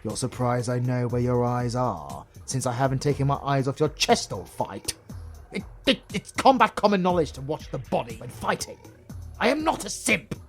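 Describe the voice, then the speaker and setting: Man acting a wildly expressive British supervillain. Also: medium pitch, normal speed, faint music behind, close to an everyday voice. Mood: defensive, flustered. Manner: theatrical, swinging between menacing and exasperated. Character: flustered theatrical male supervillain, smitten with his heroine rival. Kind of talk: romantic comedy audio roleplay acting.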